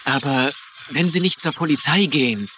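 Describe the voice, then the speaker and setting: Ein Schauspieler spielt in einem synchronisierten TV-Drama einen aufrichtigen, etwas unbeholfenen Priester, der zögernd und ernst spricht. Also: male voice, a little high, normal speed, noisy background, close to an everyday voice. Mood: earnest, pleading. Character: earnest, awkward substitute priest, gently urgent. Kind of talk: dubbed TV drama dialogue in German.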